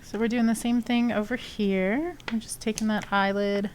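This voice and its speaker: A woman's voice: mid-range voice, fairly even intonation, moderate pace, darkish tone, a little hiss or hum. A woman with a sniffly nose chatting casually and rambling with many fillers while explaining her sculpting on a live stream. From not from Texas. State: sniffly from allergies. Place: home studio, lives in Texas.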